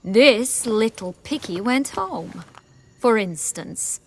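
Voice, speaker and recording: A woman's voice: a little high, animated, slow, slight background noise. A woman playing a cool, sardonic young noblewoman in a video game, sly, mocking and commanding. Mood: menacing, mocking. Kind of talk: video game character acting.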